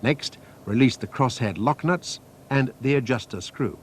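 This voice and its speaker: A man reading a scripted technical training film narration in a measured, precise, instructional manner. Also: mid-range voice. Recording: noisy archive training-film soundtrack.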